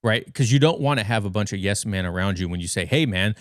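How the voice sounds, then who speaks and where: Low, clear-voiced American man. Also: animated, fast talker, no echo, studio quality. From western United States. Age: 30s. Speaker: podcast guest. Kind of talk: casual conversational storytelling. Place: bedroom at home.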